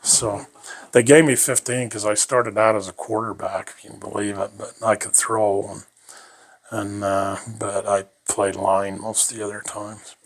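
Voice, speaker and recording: A man's voice: lowish voice, flat delivery, moderate pace, gravelly. An older man reminiscing about his life in an interview, rambling and folksy, chuckling often.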